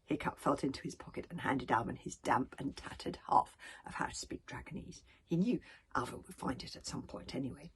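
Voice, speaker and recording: A woman reading a children's story aloud with animated expression, now and then stumbling over words. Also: extremely low pitch, brisk, a little hiss or hum. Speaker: author reading own book.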